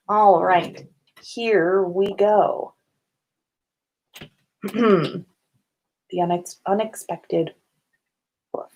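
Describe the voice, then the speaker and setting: A woman's voice: mid-range voice, fairly even intonation, drawn-out words, long pauses, a little mellow, highs cut off. A woman hosting an informal livestream, reading listener-written stories aloud. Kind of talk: livestream storytelling, informal read-aloud of fan fiction.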